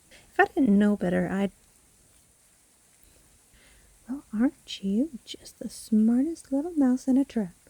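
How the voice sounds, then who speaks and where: Woman playing a slow, breathy, dark-voiced witch. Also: medium pitch, dry recording, poor audio. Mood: coy, menacing. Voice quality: soft, breathy. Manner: slow, theatrical, teasing, condescending. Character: sly, gloating witch storyteller toying with her trapped victim. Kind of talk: dramatic fantasy audio roleplay storytelling.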